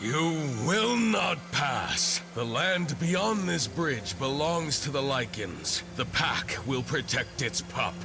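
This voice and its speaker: A menacing werewolf guarding pack land in a fantasy game. He speaks slowly and threateningly in a gravelly voice. Clear music sits behind him. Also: mid-range voice, big pitch swings, full character voice. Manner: slow, threatening. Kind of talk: scripted video game dialogue.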